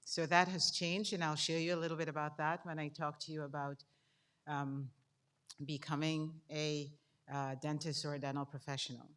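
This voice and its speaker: An older woman speaks in a low register with a Guyanese accent. She gives an informal talk to an audience as a guest speaker and likes to involve her listeners directly. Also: level tone, normal speed, fairly bright tone. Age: 50s or older. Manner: interactive, puts questions to the audience. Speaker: guest speaker, dentistry professor.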